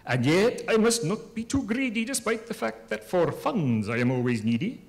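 A man's voice: high voice, big pitch swings, moderate pace, gravelly, exaggerated voice. A man playing a grandiose, self-important poet who declaims in rhyme in a theatrical comic reading. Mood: earnest deliberation. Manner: pompous, declamatory, rhyming.

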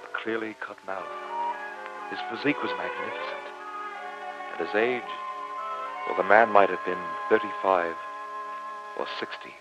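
Low, dignified French professor. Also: male voice, lo-fi sound, music in the background. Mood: grave, awed. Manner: measured, formal diction. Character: earnest, dignified French professor of marine biology. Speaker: narrator and lead actor in a radio drama. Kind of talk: old-time radio drama acting. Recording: hissy old radio recording.

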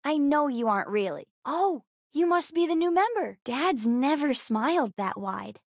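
Space-game kid: high-pitched, earnest, inquisitive girl with a cheeky streak, recorded dry. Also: big pitch swings, normal speed, no room sound. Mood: playful, teasing. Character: bright, curious, bookish young girl aboard a spaceship. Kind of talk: video game character voice lines.

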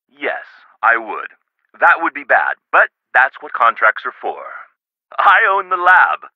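An earnest businessman who runs a research lab in a video game. His delivery is conversational and emphatic, with extremely mobile intonation at a medium pitch. The audio is close and dry, slightly dull on top. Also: no echo, full character voice. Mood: firm. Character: earnest businessman lab owner, troubled and guarded.

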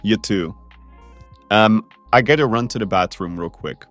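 A man's voice: lowish voice, measured pace, fairly bright tone, soft background music. A young man voicing a popular teenage boy who is nervous and stammers a lot, juggling two dates. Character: popular teen boy, flustered two-timer. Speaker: voice actor in a fan-made game drama.

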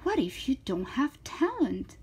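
A woman's voice: sits high, sing-song, a little slow, a little mellow, slight background noise, pitched above the performer's own voice. A woman voicing a nagging little inner voice in a children's story, needling and belittling. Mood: mocking, smug.

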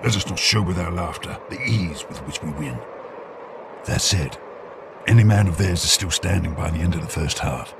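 A man acts a haughty knight captain in a comic audio drama. His voice is deep and creaky. He speaks with pompous menace over noisy ambience. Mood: haughty, menacing. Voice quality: deep, gravelly. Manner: pompous, measured.

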